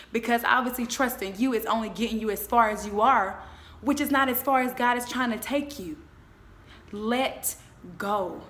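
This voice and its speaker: A woman in her twenties giving a motivational faith talk, speaking in an emphatic, exhorting way with deliberate repetition. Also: a little high, a bit bright. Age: 20s.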